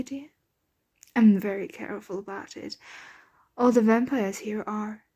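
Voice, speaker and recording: ASMR roleplay: motherly, wealthy female vampire played by a woman, soft whispers, highish voice with a creaky edge, gently soothing. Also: heavily acted. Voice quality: soft, breathy, often whispered. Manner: tender, coaxing, genteel, unhurried.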